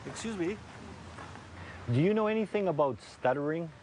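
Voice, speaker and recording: A man's voice: a little high, lively intonation, moderate pace, a little mellow, slight background noise. A man who stutters with repeated words, asking people questions about stuttering.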